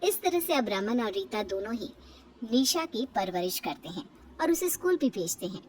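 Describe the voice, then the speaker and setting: A woman's voice: on the high side, very animated, moderate pace, far from the mic. A woman reading scripted narration for a moral story, as its storyteller.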